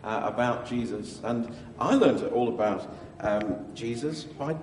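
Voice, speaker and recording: A man's voice: medium pitch, measured pace, darkish tone, slight background noise, lightly acted. A man playing an ancient Greek physician and gospel writer, chatting genially and playfully in a hesitant, interview style. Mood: chatty, earnest. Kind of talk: in-character interview for a church audience. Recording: echoey hall with long reverb, slightly muddy.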